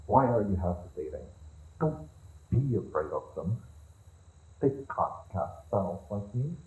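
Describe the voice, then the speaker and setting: A man's voice: lowish voice, very animated, unhurried, darkish tone, slightly rough, heavily acted, rough recording. A man playing a haughty evil sorcerer in a fantasy roleplay audio drama, theatrical, condescending and musing. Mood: commanding, impatient. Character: haughty evil male sorcerer leading a raid.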